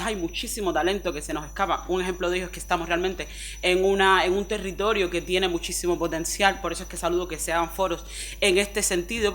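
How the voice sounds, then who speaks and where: A woman from Cuba with a Cuban Spanish accent, speaking on a forum panel in an expressive, chatty way, often ending phrases with quick tag questions. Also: lowish voice, a little hiss or hum. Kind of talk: panel discussion talk.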